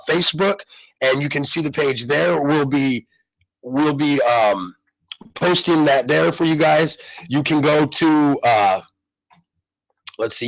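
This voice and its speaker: A man's voice: medium pitch, dry recording, dull audio. A man hosting a casual live radio show, congested, rambling and repeating himself with many fillers. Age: adult parent.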